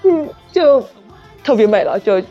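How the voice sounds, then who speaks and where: Woman guest, lo-fi sound, animated intonation, self-deprecating and giggly, halting casual talk. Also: a little high. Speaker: novel author, guest. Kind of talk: casual unscripted chat. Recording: muffled lo-fi audio, music behind. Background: saxophone background music.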